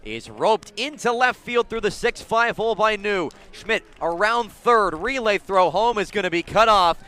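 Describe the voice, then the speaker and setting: A man with a slightly high voice does live radio baseball play-by-play. He describes each moment in detail and lifts his delivery for the big moments. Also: normal speed. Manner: descriptive, builds excitement on big plays. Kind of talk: live radio sports commentary.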